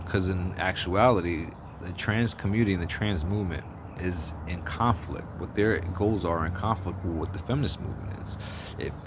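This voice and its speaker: Man vlogger, very low bass voice, blunt and profane, rambles and restarts, street traffic noise behind. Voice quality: deep bass voice. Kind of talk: casual opinion monologue. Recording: outdoor street noise with passing vehicles.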